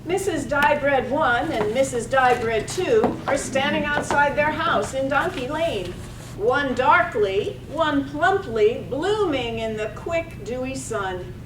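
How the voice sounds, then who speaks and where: A woman acts as narrator of a poetic radio play. Her high voice is slow and measured as it savours the images. It sounds distant and echoey, with clear rumbling noise. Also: expressive. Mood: measured, descriptive. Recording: echoey room, distant mic, noisy rumble.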